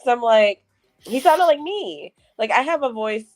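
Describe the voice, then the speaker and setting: A woman with a low voice, co-hosting a casual podcast chat, frank and profane and quick to laugh. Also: expressive, darkish tone, soft background music. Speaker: podcast co-host.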